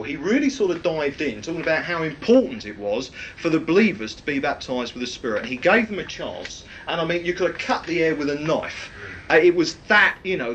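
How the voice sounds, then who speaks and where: A man with a loud, projecting voice, preaching a rambling, anecdotal sermon with many fillers. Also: a little high, slight background noise, rough recording.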